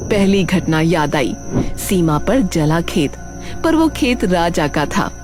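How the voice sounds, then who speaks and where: A woman's voice: lowish voice, expressive, slow pace. A woman narrating a scripted folk tale as a storyteller. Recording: music behind the voice.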